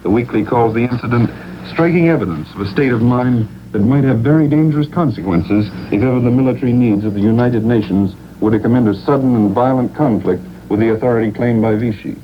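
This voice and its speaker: Through a noisy, dull 1940s shortwave broadcast comes a man with a medium-pitched voice. He reads a scripted wartime news report as a radio correspondent. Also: fairly quick.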